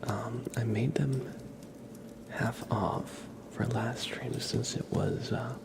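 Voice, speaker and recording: A man whispering softly and breathily as an ASMR live streamer, chatting casually and gently with viewers. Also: mid-range voice, steady tone, slow pace, very noisy. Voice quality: breathy whispering and soft murmuring, gravelly.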